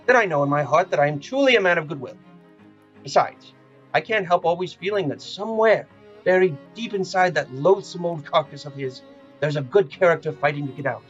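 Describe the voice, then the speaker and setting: A male actor plays an amiable gentleman in an audio drama, earnest and full of goodwill. He speaks with playful teasing and hopeful good humor in a fairly high male voice. Music runs underneath. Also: highs cut off. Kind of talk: audio play acting.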